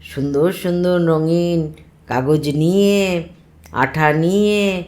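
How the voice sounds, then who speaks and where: A woman's voice: on the low side, takes it slowly, a little mellow. A woman voicing a sweet, mischievous little boy of three or four in a children's story, pleading and asking endless questions. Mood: eager.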